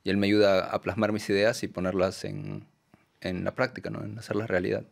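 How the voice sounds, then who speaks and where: A Peruvian man, a documentary filmmaker, answering interview questions in a soft, hesitant way with many fillers and tag questions. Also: low-pitched, expressive, brisk, creaky. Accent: Peruvian Spanish. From Peru.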